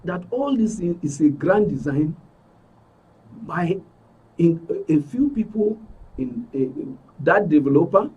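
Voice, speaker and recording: A man with a Nigerian accent and a husky voice giving an emphatic account as an interview guest, repeating phrases for stress. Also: a little high, expressive, slow, darkish tone, distant mic, muffled recording. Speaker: interview guest, club member. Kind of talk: spoken account in a broadcast interview.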